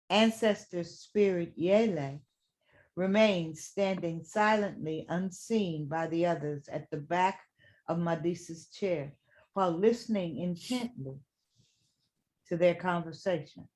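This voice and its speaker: A woman serves as narrator for a staged play reading. Her voice is fairly low and her delivery very slow, measured and deliberate, as scripted stage directions are read out. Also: darkish tone.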